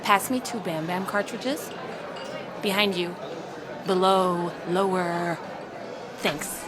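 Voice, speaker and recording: An actress playing a bossy, sardonic veteran shop worker in a conversational indie drama. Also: female voice, fairly low pitch, steady tone, slow pace, a loud crowd, very noisy. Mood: brusque. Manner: brusque, sardonic.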